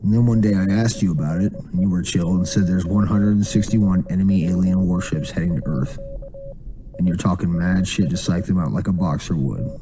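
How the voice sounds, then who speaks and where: A man's voice: sits low, brisk, noisy background. A man doing spoken-word storytelling in a slangy, profane, casual manner.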